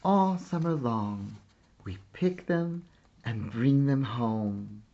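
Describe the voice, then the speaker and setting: A man reading a children's picture book aloud like a teacher, with deliberate, expressive phrasing and pauses. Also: medium pitch, dull audio. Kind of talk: slow children's storybook read-aloud.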